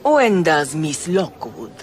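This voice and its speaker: An actress in a radio drama playing an enigmatic, scheming noblewoman who speaks in a deliberate, theatrical way. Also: deep voice, sing-song, a little hiss or hum, full character voice. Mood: brooding, fatalistic. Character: enigmatic, fatalistic foreign noblewoman, scheming and brooding.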